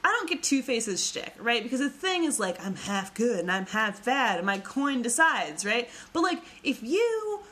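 A woman's voice: fairly high pitch, very animated, moderate pace, few pauses, fairly bright tone. A young woman co-hosting a casual comedy podcast, crude and joking, often laughing as she talks. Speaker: podcast co-host.

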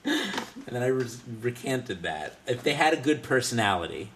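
A man's voice: mid-range voice, sing-song, noisy background. A young man co-hosting a crude comedy chat, speaking in an everyday mid-range voice. He jokes wryly, often stumbling and restarting his sentences before he lands the line. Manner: halting, restarts, wry joking. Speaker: podcast co-host.

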